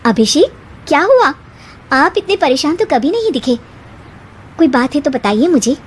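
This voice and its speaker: A woman's voice: high-pitched, sing-song, normal speed, pitched above the performer's own voice. A woman voicing a caring, patient young wife and daughter-in-law in a family story. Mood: gentle concern.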